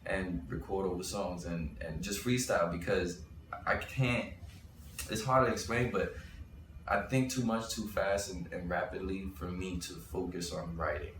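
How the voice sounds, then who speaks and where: A man's voice: sits low, fairly even intonation, moderate pace, fairly bright tone. A young man from Bushwick, Brooklyn, telling his story in a casual interview, rambling and restarting as he thinks aloud. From Bushwick, Brooklyn, New York. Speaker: rapper and interview guest. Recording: roomy sound from a distant mic with faint noise.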